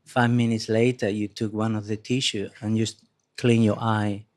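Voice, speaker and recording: Low, flat-voiced man. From Spain. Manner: earnest, halting, restarts often. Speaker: audience member sharing a testimony.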